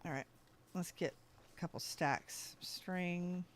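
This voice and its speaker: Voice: mid-range voice, slow, slight background noise. Casual gamer chatting through play. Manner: talks to game animals and self. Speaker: gaming streamer. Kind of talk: casual gameplay commentary.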